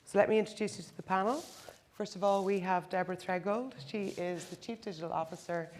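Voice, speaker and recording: A woman's voice: medium pitch, steady tone, quick pace, a little mellow. A woman moderating a panel on a conference stage, introducing each guest with polished, upbeat lines.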